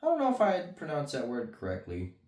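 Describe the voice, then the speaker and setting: A man's voice: medium pitch, huge pitch swings, fast talker, a little mellow. A man reading a bedtime story to children with casual asides. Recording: roomy echo, mic at a distance.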